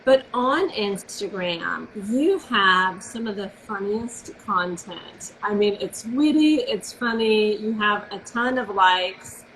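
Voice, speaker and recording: A woman's voice: mid-range voice, lively intonation, slow, few pauses, fairly bright tone, a little hiss or hum, poor audio. A woman hosting a casual live video interview, talking with gushing enthusiasm. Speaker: host.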